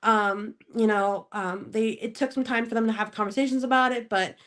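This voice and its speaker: A woman from Spokane, Washington, speaking as a podcast guest in casual interview chat, laughing often and pausing to search for words. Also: on the high side, steady tone, fairly bright tone.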